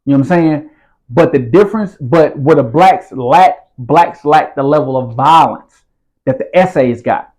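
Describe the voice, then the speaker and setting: A man's voice: a little high, unhurried, darkish tone, rough recording. A man, telling his story as an interview guest in casual street slang and often asking whether the listener follows. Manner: streetwise slang, repeats "you feel what I'm saying".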